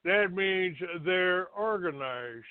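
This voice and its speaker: A man hosting a talk show, delivering a halting, grumbling monologue with blunt language, partly reading from a written notice. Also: high-pitched, animated, clear, resonant tone. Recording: thin phone-like band, roomy echo.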